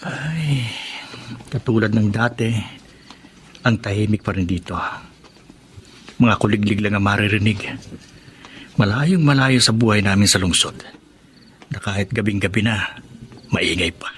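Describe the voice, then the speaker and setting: An actor playing a curious, adventurous young city man in a Filipino radio drama, with an earnest, expressive delivery. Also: lowish voice, measured pace, pauses often, a little hiss or hum. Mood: hushed curiosity.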